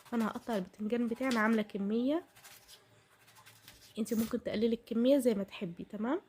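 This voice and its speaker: Very fast-talking Egyptian woman with a cold. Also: a little high, a little mellow, breathy edge. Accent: Egyptian Arabic. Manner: chatty, addresses viewers directly. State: voice altered by a heavy cold. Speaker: home cooking vlogger. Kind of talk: casual recipe narration to viewers.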